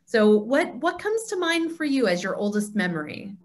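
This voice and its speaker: A woman's voice: on the high side, very animated, normal speed, a little hiss or hum. Woman hosting a casual group chat. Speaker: discussion host. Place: video call.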